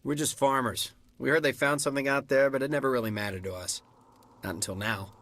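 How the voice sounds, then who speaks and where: Man playing a bright-voiced, rattled colony farmer. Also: medium pitch, steady tone, a little fast, no echo, lightly acted. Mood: matter-of-fact. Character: plain-spoken male colony farmer, rattled survivor. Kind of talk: video game voice acting.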